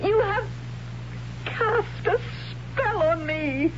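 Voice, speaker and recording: A torn, halting warrior woman. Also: very high-pitched, expressive, heavily acted. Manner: halting, hesitant, breaking into tears. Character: troubled young warrior woman torn by forbidden love. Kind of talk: 1970s radio drama acting. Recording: muffled old radio broadcast with hiss.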